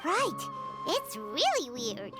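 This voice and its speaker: A woman voicing a small, excitable, childlike floating companion in a video game, curious and dramatic. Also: slow pace, loud music behind the voice, heavily acted. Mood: puzzled. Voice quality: squeaky, childlike. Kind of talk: English video game character dialogue.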